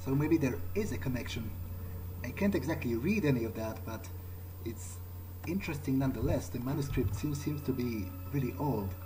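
A man's voice: medium pitch, lively intonation, moderate pace, background noise. A man doing in-character game commentary, thinking aloud in a musing, hesitant way. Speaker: gamer playing in character.

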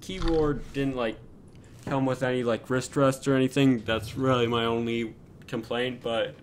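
A young man chatting casually while gaming, talking in halting bits with plenty of fillers. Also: mid-range voice, fairly even intonation, slight background noise. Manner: hesitant, many fillers. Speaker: channel host gamer.

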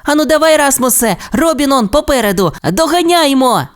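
A storyteller voicing a fast, excitable little male dinosaur, in a very high, squealing voice. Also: natural voice. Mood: eager.

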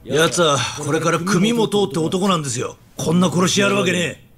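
An actor playing an indignant, hot-tempered police detective in a game drama, blunt and forceful. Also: male voice, sits high, expressive, moderate pace, a crowd in the background. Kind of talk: dramatic video game cutscene acting.

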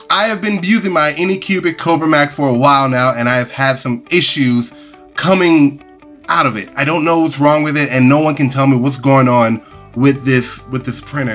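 A man in his thirties with a nasal voice from a cold, talking animatedly to camera in a casual home-studio vlog, exasperated at times. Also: medium pitch. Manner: animated, big hand gestures, exasperated asides. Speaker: 3D printing channel host. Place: small home studio room with collectibles, indoors. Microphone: over-ear headset, webcam framing.

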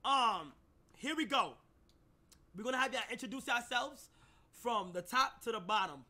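Male livestream host, bright tone, loud quick roll-call delivery. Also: very high voice, lively intonation. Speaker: stream host. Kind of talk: casual livestream hosting.

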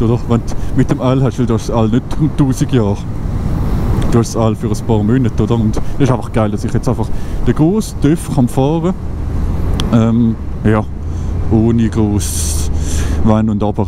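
A man in his twenties with a Swiss German accent, chatting casually while riding a motorcycle, thinking aloud with many fillers and restarts. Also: mid-range voice. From Switzerland. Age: 20s. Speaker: motovlogger. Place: outdoors on a moving motorcycle on country roads. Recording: wind and engine noise from riding.

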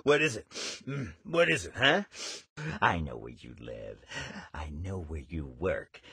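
An actor playing a sadistic, gleefully menacing ice cream man villain in a horror game, with a raspy, gravelly, taunting and theatrical delivery. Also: low-pitched, sing-song, unhurried, fairly bright tone, no echo, clean studio sound, full character voice. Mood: low, sinister.

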